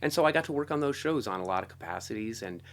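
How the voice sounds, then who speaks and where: A man's voice: on the low side, animated, racing, a bit bright. A middle-aged American man telling stories as an interview guest in a casual, enthusiastic, rambling way, often saying "like" and "you know". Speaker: interview guest, film and television composer. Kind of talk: casual conversational storytelling.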